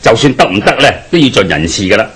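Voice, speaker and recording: A man playing an earnest, eager avenger in a Hong Kong Cantonese radio drama, speaking insistently with a husky voice. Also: fairly low pitch, sing-song, fast, a bit bright, exaggerated voice. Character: earnest, eager adult avenger of a dead father.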